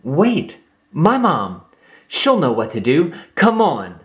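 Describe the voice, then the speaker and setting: A man doing a high, eager little kangaroo boy. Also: wildly swooping pitch, slow, exaggerated voice. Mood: earnest. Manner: high, pleading.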